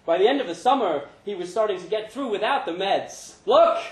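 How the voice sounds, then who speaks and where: A male story reader with a slightly high-pitched voice. He narrates fiction in a dramatic, scripted way. The recording has the clear echo of a live indoor room. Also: animated, fairly quick. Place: indoor pub event. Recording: roomy live pub reading.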